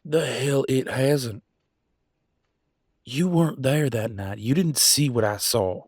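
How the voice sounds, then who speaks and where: A man playing a conflicted werewolf pack alpha in an audio roleplay, arguing emphatically in colloquial, sweary speech with dramatic pauses. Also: on the low side, fairly even intonation, no echo, clean studio sound, lightly acted. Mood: insistent. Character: Damien, conflicted male werewolf pack alpha, defiant and remorseful. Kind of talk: scripted audio roleplay, one side of an argument.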